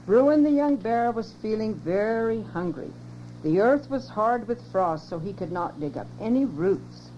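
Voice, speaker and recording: An older woman gently reading a children's story aloud at home for her family, on a lo-fi home cassette tape with hum. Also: mid-range voice, animated, a little slow.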